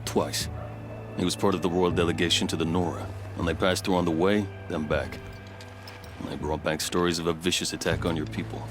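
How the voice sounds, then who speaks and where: Low-voiced, composed fort officer played by a man. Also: fairly even intonation, fairly quick, close to an everyday voice. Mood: formal, helpful. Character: composed, devout fort officer loyal to his king. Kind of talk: video game voice acting. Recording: music behind the dialogue. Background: music.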